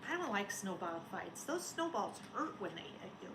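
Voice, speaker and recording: A woman, a schoolteacher, talking and reading aloud to young children in simple, expressive phrases. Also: mid-range voice, moderate pace. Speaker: elementary school teacher. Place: indoors at home. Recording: roomy home recording, faint noise.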